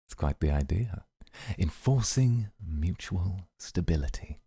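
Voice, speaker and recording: A man playing a composed, calculating, coolly amused mafia boss, speaking in a measured, formal way in an ASMR roleplay. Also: sits low, animated, mellow, husky, dry recording, exaggerated voice. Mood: calculating, calm.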